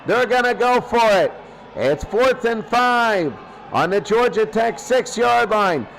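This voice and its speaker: A man's voice: high-pitched, animated, takes it slowly, creaky, a bit bright, slight background noise, low-quality recording. A man calling live sports play-by-play as an announcer, speaking emphatically and repeating phrases for effect.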